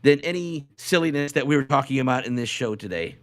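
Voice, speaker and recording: A man co-hosting a casual unscripted podcast, speaking haltingly and repeating phrases for emphasis. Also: mid-range voice, expressive. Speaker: podcast co-host.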